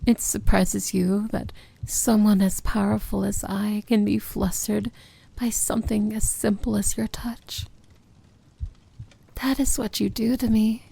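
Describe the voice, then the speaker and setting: A woman acts out a powerful evil princess in a romantic fantasy ASMR roleplay. Her voice is breathy and mellow, often slipping into whispers, with a crackle of fry. She speaks intimately, possessive and commanding yet tender. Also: medium pitch, level tone, a little slow, no room sound, full character voice. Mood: soft, tender.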